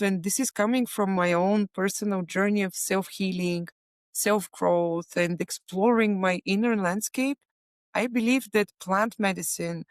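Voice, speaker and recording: A woman from Bulgaria, a plant medicine facilitator, speaking as an interview guest in a soft, earnest way with frequent pauses and fillers. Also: medium pitch, no room sound, clean studio sound. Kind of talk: casual interview talk.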